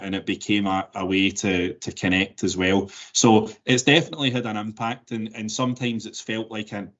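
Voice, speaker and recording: A man in his forties with a softened Glaswegian Scottish accent, answering interview questions thoughtfully with many restarts and fillers. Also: lowish voice, highs cut off. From Scotland. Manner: thoughtful, frequent word repeats and fillers. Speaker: interview guest.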